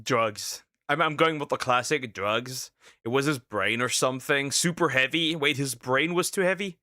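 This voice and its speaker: A man in his twenties giving casual live reaction commentary, excitable and stammering, tossing out quick sarcastic asides. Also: mid-range voice, dry recording, studio quality. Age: 20s. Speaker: streamer reacting to a video.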